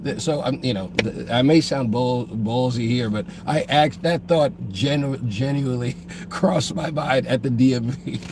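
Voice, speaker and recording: A hoarse man getting over a cold, co-hosting a casual podcast and talking in a halting way with frequent restarts. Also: medium pitch. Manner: halting, many restarts and repeated words. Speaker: podcast co-host. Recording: noisy background.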